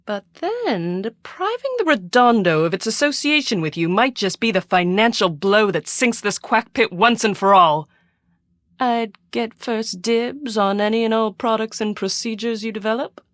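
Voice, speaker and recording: A woman's voice: fairly high pitch, animated, moderate pace, fairly bright tone, no echo, studio quality. An actress in a video game playing a pampered, imperious rich woman who dotes on her little dog, speaking theatrically and giving orders. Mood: smug, aloof. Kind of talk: scripted video game voice acting.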